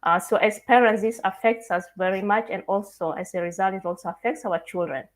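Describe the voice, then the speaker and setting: A woman from South Sudan moderating a panel discussion, polite and measured, asking long questions. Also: medium pitch.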